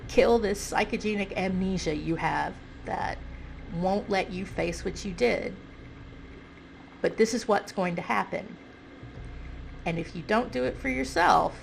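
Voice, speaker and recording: A woman's voice: mid-range voice, gravelly, a little hiss or hum, rough recording. A woman with a stuffy nose giving casual, self-correcting commentary on a video game, thinking aloud as she goes.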